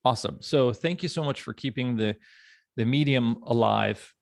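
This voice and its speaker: A man's voice: medium pitch, moderate pace, gravelly, no echo, clean studio sound. A man hosting a casual podcast chat in an enthusiastic, effusive manner. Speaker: podcast host.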